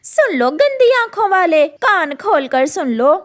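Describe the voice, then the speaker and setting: A woman's voice: very high voice, big pitch swings, unhurried, exaggerated voice. A woman voicing a quarrelsome, melodramatic mother bird in a cartoon, scolding and dramatic. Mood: scornful, boastful. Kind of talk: exaggerated cartoon dialogue.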